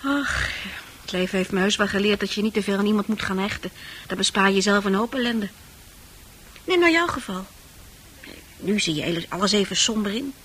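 Bright-voiced, worldly, coaxing woman. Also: medium pitch, brisk, slightly rough. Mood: consoling. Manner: chatty, coaxing, knowing. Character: worldly, coaxing woman of easy virtue, businesslike underneath. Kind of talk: Dutch radio play acting. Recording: radio play with music behind.

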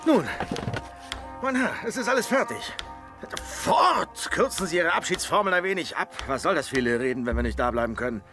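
An actor playing an impudent, grumbling manservant in a radio play, gravelly and husky, full of mock formality. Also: male voice, on the high side, expressive, moderate pace, over music, natural voice. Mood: impatient, sarcastic. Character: cheeky, grumbling manservant, greedy for comforts.

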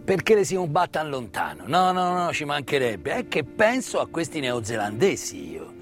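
A man's voice: on the high side, huge pitch swings, normal speed. A man delivering a theatrical storytelling monologue, emphatic and rhetorical, full of repetition and questions. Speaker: stage narrator and actor. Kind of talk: theatrical monologue, conversational storytelling about wartime history. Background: live original music.

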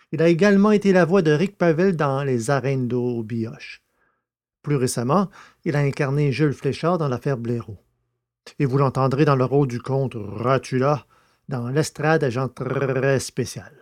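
A man's voice: medium pitch, darkish tone. A man hosting a podcast and narrating audiobooks in a scripted presenting style.

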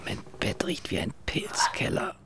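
An actor playing a dazed, dreamy man adrift in time in a radio play, musing softly and often in a murmur. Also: a little slow, whispered, close to an everyday voice. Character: dazed, dreamy, soft-spoken male time-traveller.

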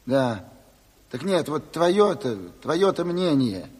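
A man's voice: a little high, very animated, slow pace, darkish tone, slightly rough, exaggerated voice. An actor playing a weary, wry, folksy Soviet chairman in a radio play, talking colloquially. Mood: wry, conciliatory. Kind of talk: naturalistic radio play acting. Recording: reverberant room.

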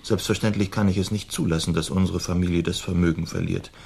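Young nobleman, slightly low voice, languid, plaintive, formal, dutiful, radio play. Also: fairly even intonation, fast, creaky, a little mellow, husky, heavily acted. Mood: resigned. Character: languid young nobleman, peace-loving, dutiful, weary son. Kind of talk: German radio play acting.

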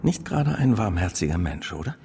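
An actor playing a suspicious chief inspector in a radio drama, with a dry, insinuating way of questioning. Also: male voice, on the low side, sing-song, a little fast, creaky, heavy background noise.

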